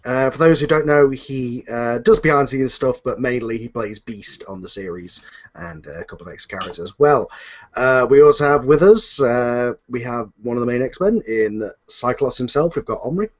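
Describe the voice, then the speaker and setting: A man's voice: mid-range voice, animated, normal speed. A man hosting a live online Q and A in a casual, unscripted and slightly flustered, rambling way, over a video call. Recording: muffled lo-fi internet video call.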